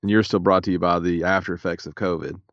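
Very low-voiced stuffy man. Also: steady tone, fast, a bit bright, dry recording, dull audio. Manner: laid-back, dry humor. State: stuffy, a little raspy. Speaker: podcast co-host. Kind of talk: casual chat.

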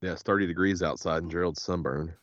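Man, very low voice, head cold, laid-back joking podcast host, dull muffled audio. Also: fast, dry recording. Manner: laid-back, joking, says "hell yeah" often. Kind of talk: casual chat.

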